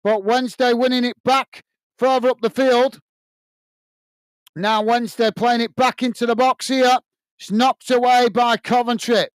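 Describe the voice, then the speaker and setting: High-voiced British man calling a match. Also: measured pace, smooth, no room sound. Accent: British English. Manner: steady running commentary, talks to viewers as guys. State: voice tired and going hoarse. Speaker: fan channel match commentator. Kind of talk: live watch-along play-by-play commentary.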